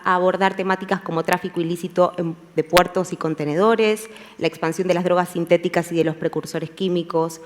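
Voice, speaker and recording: Woman moderating a panel, echoey hall. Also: fairly low pitch. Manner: structured, listing topics, some fillers. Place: conference hall. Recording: long hall reverb.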